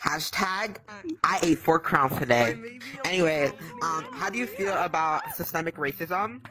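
A woman puts on a laid-back male puppet, low for a female voice and casually deadpan. It is a short comic skit with crowd chatter behind. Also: measured pace.

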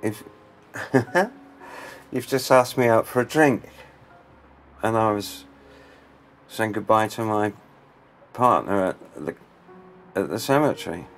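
Radio play: an actor as a grieving widower, stammering and slow, voice rising and falling. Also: male voice, lowish voice, expressive, creaky, faint music behind, exaggerated voice. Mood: flustered. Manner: hesitant, stammering, trailing off quietly. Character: grieving middle-aged man who lost his male partner.

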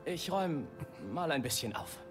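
A man voicing a gentle young hero. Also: fairly high pitch, animated, moderate pace, over music, natural voice. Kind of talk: German game dub.

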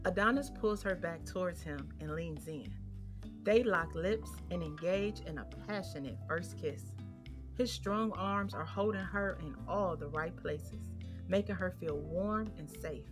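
A woman's voice: on the low side, measured pace. Woman narrator over prominent music. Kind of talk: scripted audio drama narration.

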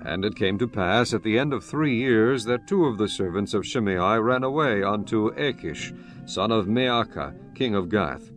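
Low male voice narrating bible story, solemn and formal, soft music under it. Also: lively intonation, moderate pace. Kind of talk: scripted dramatized Bible narration. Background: soft background music.

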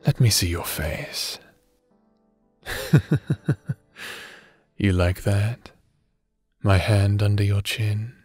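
Man acting as slow-talking, doting evil necromancer husband. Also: lowish voice, fairly even intonation, husky, no room sound. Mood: seductive. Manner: teasing, slow, formal old-fashioned diction. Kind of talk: romantic ASMR roleplay, scripted audio drama. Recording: soft instrumental music bed behind voice.

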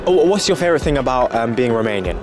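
Lively fast male street interviewer. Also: mid-range voice, over music. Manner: lively, friendly, quick asides. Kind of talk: casual street interview questions.

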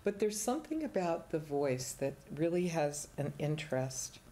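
Older woman voice teacher, deep and mellow, unhurried, rambling explanations, faint studio hiss. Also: big pitch swings. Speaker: singing teacher, guest. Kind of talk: casual explanatory chat. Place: small TV studio, indoors.

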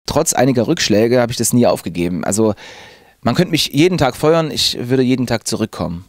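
A man's voice: medium pitch, brisk, no echo. A man in his thirties, a radio presenter, talking casually and enthusiastically into a handheld microphone in a radio studio. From Zwickau, Saxony, Germany. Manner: lively, chatty, enthusiastic. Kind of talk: casual personal account to camera. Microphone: handheld reporter mic.

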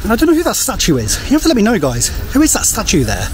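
A man's voice: sits high, wildly swooping pitch, racing, slight background noise. A man in his thirties with an English accent, chatting effusively and casually to a handheld camera. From England. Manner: chatty, effusive, repeats phrases for emphasis. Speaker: travel vlogger, host. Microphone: handheld vlog camera at arm's length.

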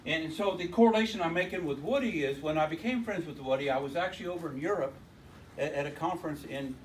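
A loud-voiced man gives a loose, rambling technical talk, often doubling back on his own words. His voice sits a little high for a man. The mic catches him from some distance, with faint room noise behind him. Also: quick pace. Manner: rambling, with many restarts and repeated words. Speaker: conference speaker. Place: lecture room at a tech meetup. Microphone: handheld mic, often held away from the mouth.

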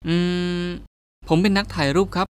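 A polite, shy young Thai man, played by an actor in a radio drama. He is a photographer. His speech runs very fast. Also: high-pitched, no echo, natural voice.

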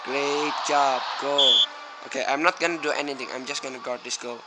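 A young man from Indonesia chats his way through a mobile soccer match, rambling, losing his train of thought, then yelling at the big plays. His voice sits at an ordinary middle pitch. Loud noise and clicks run under the whole recording, with fireworks going off outside. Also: fairly even intonation, a little fast. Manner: rambling, easily distracted, bursts out loud at plays. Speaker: small gaming YouTuber. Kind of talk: casual mobile gameplay commentary. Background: fireworks bangs from the neighborhood.

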